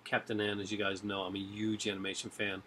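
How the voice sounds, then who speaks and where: A man's voice: on the low side, monotone, a little fast, sharp tone, a little hiss or hum. A man in his forties chatting casually to a webcam at home about his disc collection, rambling with many fillers and restarts. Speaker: collector vlogger. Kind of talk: casual chat to camera. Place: home room with shelves of discs. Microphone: webcam at desk.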